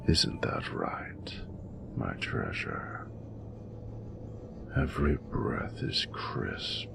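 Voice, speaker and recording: Abyssally deep, gravelly ancient dragon lover. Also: male voice, full character voice. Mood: savoring, tender. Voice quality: very deep, gravelly, breathy rumble. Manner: slow, intimate, formal and poetic. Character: ancient, tender, courtly male dragon lover. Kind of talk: scripted romantic audio roleplay. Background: music.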